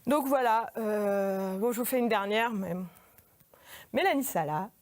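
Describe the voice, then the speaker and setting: A woman's voice: on the high side, animated, measured pace. A woman giving a humorous autobiographical stage monologue in an informal, hesitant, self-deprecating way. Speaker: artist giving a performance lecture. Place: in front of a live audience.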